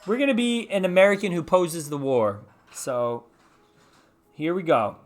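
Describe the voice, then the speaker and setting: A man with a fairly high voice reads a story aloud as host. He is informal and drops chatty asides to his listeners. Faint music plays behind him. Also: sing-song, moderate pace. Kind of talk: casual read-aloud of an interactive book.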